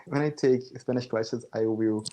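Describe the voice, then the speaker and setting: A man in his twenties who is learning English, speaking slowly on a video call with frequent restarts and small laughs. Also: medium pitch, fairly even intonation, mellow, poor audio. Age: 20s. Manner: slow and halting, restarts often, laughs between phrases. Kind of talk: casual video-call chat. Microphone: video call headset or laptop mic.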